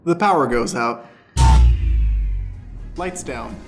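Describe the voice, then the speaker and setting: A man's voice: medium pitch, animated, slow, over music. A man narrating an improvised radio play as stage manager, in a theatrical announcing style.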